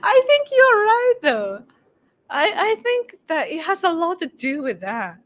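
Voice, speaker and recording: A woman's voice: extremely high pitch, huge pitch swings, a little slow, a bit bright, no room sound. A Japanese woman in her late thirties with a Japanese accent, chatting casually on a live stream and laughing often as she answers viewers. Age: late 30s. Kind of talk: casual chat.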